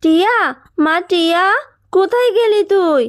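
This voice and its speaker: A woman's voice: extremely high pitch, unhurried, no echo, studio quality, soft background music, voice pushed up. A woman voicing a caring, anxious mother fox in a cartoon, talking tenderly and expressively. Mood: eager, tender.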